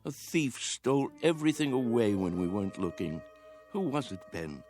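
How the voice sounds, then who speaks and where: A man's voice: medium pitch, sing-song, normal speed, a little mellow, soft background music, natural voice. An actor playing an earnest, dreamy old man who muses fervently about youth, in a radio drama. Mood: searching, musing. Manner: earnest, musing, insistent.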